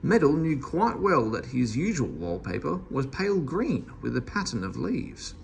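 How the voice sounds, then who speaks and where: A man's voice: mid-range voice, sing-song, normal speed, gravelly, a little hiss or hum. A man reading a children's story aloud as narrator. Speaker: storybook reader.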